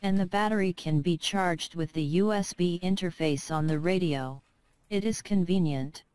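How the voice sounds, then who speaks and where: A woman's voice: on the low side, moderate pace, a little mellow, clean tone. A woman reading a scripted product narration, with careful, non-native English phrasing. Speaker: product presenter.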